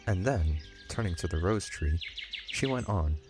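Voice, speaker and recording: Deep-voiced male storybook narrator. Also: animated, unhurried, music in the background. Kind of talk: scripted audiobook storytelling.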